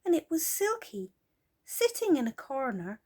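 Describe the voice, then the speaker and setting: A woman reading a children's story aloud as an expressive storyteller. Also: high voice, a little slow.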